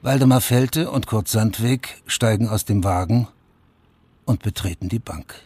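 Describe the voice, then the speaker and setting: Sober, low-pitched male radio narrator. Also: measured pace, a little mellow, husky, dry recording. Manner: sober, matter-of-fact. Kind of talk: scripted radio drama narration. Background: music.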